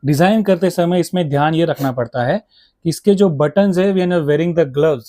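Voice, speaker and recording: A man's voice: a little high, normal speed, dark tone, dry recording, studio quality. A man with an Indian accent, speaking as a shop seller who explains products in detail, conversationally switching between two languages. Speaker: motorcycle gear shop seller. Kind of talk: conversational product explanation in mixed Hindi and English.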